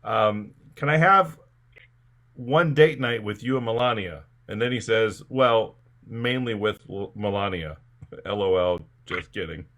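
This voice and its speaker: A man's voice: on the low side, unhurried, a bit bright. A man hosting a casual comic interview, playing along and relaying questions from viewers in the chat. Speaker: live-stream show host.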